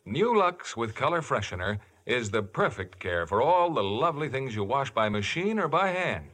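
50s radio announcer guy, punchy bright voice, lively pitch, smooth sales pitch. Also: moderate pace. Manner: polished, salesmanlike. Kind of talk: scripted 1950s radio announcing and advertising.

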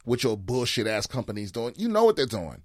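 A man's voice: medium pitch, fairly quick, no room sound. Ranting profane man, dry close sound. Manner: profane, animated, repeats himself. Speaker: comedian podcast host. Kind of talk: casual chat, ranting.

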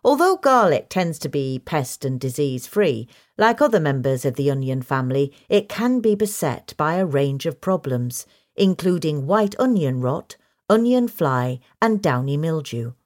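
Low voiced woman narrating a how-to article, measured but really varied intonation. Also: big pitch swings, darkish tone. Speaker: reader of a magazine article. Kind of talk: scripted narration, question-and-answer gardening guide.

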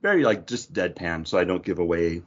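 Deep-voiced, animated quiz host. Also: male voice, a little fast. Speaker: podcast host running a trivia quiz. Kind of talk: casual chat, quizmaster reading questions. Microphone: online voice call. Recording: dull lo-fi voice call.

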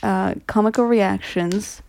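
A woman's voice: mid-range voice, steady tone, a little slow, vocal fry, darkish tone, a little hiss or hum. A young woman hosting a casual podcast interview, chatty and teasing, often giggling. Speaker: podcast host. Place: indoors at a family home.

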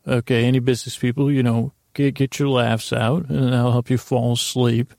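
A man with a creaky voice hosting a bedtime podcast in a meandering, halting monologue that stammers and interrupts itself with gentle humor. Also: mid-range voice, level tone, dry recording, clean studio sound. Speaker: podcast host.

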